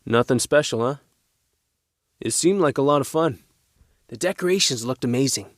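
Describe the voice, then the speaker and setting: A man acts out a working-class teenage boy with a loyal streak and a load of guilt. He talks earnestly and firmly, in a mid-pitched voice. The sound is dry, as in a studio audio drama. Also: expressive, normal speed, dry recording, natural voice. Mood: pointed.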